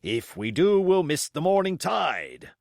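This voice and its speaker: Man playing a hearty seafaring cook, swinging pitch. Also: sing-song, unhurried, gravelly, dry recording, clean studio sound, heavily acted. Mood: bluff. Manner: bluff, exclamatory sailor talk. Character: hearty, nimble ship's cook, an old sailor.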